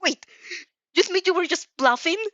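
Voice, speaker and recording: A woman voicing an angry, shaken villain woman, loud and accusing, in a story reading. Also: very high voice, moderate pace, no room sound, muffled recording, pitched above the performer's own voice.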